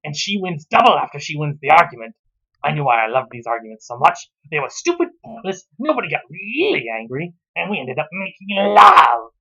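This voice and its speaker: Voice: mid-range voice, sing-song, fairly quick, pushed and bright, slightly rough, muffled recording, full character voice. A reviewer voicing a smug young husband and father who narrates his own story in a mock-earnest, self-congratulatory way.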